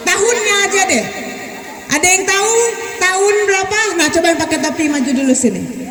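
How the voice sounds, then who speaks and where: A woman's voice: very high voice, fairly quick. A woman around thirty giving a chatty, teasing speech to students at a school visit. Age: about 30. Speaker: guest speaker visiting a school. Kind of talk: lively speech to students, joking and quizzing. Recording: echoey hall with crowd noise.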